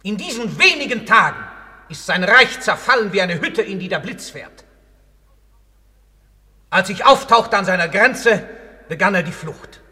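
An actor playing a proud, boastful Roman general on trial among the shades, declaiming in a German radio play. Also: male voice, high voice, expressive, unhurried, long pauses, sharp tone, exaggerated voice. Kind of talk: German radio play, theatrical verse delivery. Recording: long reverberant hall.

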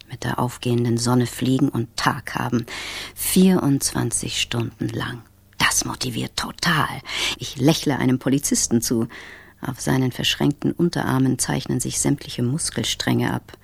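An actress playing a driven, wired business traveller in a radio drama inner monologue, clipped and intense, with a husky, breathy voice. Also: female voice, very deep voice, no echo, heavily acted.

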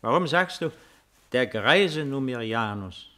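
An actor playing a weary, melancholy old Roman emperor in a solemn, measured 1950s radio drama style. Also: male voice, medium pitch, expressive, bright, forceful tone. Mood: guarded. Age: older.

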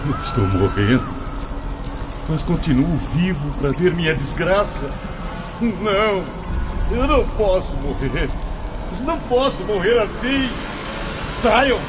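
A dying soul, played by a man, cries out in a high, panicked voice. The sound is dull, with a clear hiss behind it. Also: big pitch swings, slow, pauses often, exaggerated voice. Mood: desperate. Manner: panicked, pleading. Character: dying male soul, desperate.

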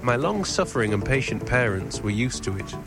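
An English man in his thirties from northern England narrates his own memoir in a measured, literary storytelling way. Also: mid-range voice, very animated. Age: 30s. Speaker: author narrating his autobiographical audio piece. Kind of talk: scripted autobiographical narration. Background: music.

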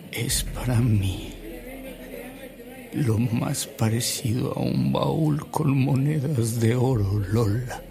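An actor playing a devout, earnest older man in a Mexican radio drama, speaking solemnly and deliberately with dramatic pauses. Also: mid-range voice, vocal fry. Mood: earnest, moved. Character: frail, devout older man, a converted skeptic, reverent and earnest.

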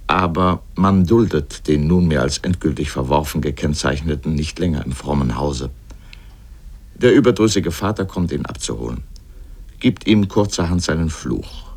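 A man with a low voice delivers a scripted literary essay for radio. He comments as he narrates, pointed and sardonic, in carefully built sentences. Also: lively intonation, normal speed, creaky, darkish tone.

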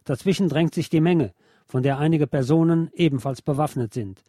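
A man reading historical accounts as scripted radio narration in a measured, literary manner. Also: on the high side, mellow, no echo, clean studio sound.

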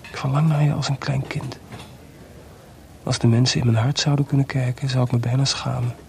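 A man's voice: medium pitch, little pitch movement, fairly quick, creaky, a little mellow, slight background noise, natural voice. A man playing a composer in a radio play, voicing weary, tender and bitter letters in an intimate, reflective way. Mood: melancholy longing. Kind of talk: radio play monologue, letters read aloud.

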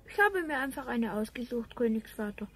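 A woman's voice: on the high side, expressive, brisk, a bit bright, a little hiss or hum. A woman playing a trusting, obedient young boy with an innocent, earnest manner in a radio play. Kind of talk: 1970s German radio play acting.

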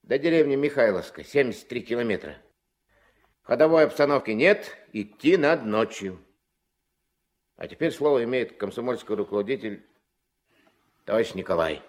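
A resolute riverboat captain played by a male actor with a mid-pitched voice that rises and falls widely. He is gruff, terse and folksy, giving orders with mock formality. It sounds like a vintage Soviet radio drama. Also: animated, moderate pace, long pauses, lightly acted. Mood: solemn, official. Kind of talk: Soviet radio play acting. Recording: vintage 1970s mono radio recording.

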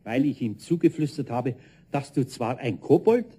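An actor playing a grumbling but kindly older master craftsman with a Bavarian accent, unhurried and gently teasing, in a German children's radio play. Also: medium pitch, expressive, slightly rough.

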